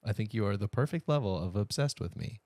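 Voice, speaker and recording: A man's voice: lowish voice, animated, fast, darkish tone, dry recording. A man in his thirties co-hosting a podcast in a casual, playful chat, with a jokey, bantering way of talking. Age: 30s. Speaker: podcast co-host.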